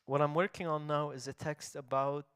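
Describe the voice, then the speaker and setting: Smooth-voiced man telling stories reflectively. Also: on the high side, steady tone, moderate pace, a bit bright, dry recording, clean studio sound. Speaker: writer and translator. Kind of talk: casual conversation.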